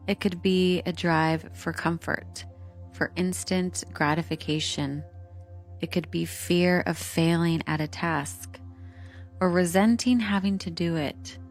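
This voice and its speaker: A woman narrating a scripted guided meditation in a soothing, hypnotic delivery, with measured instructions and lingering pauses. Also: on the low side. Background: calming meditation music.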